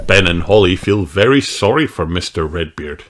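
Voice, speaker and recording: A man reading a children's book aloud in an expressive storytelling style. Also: low-pitched, normal speed, a little hiss or hum.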